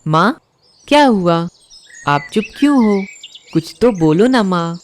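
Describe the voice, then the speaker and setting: A woman's voice: mid-range voice, animated, slow pace, a little hiss or hum. A woman voicing a young schoolboy bird in a cartoon, an earnest, pleading and obedient son. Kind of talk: Hindi cartoon voice acting. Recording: loud music behind the voice.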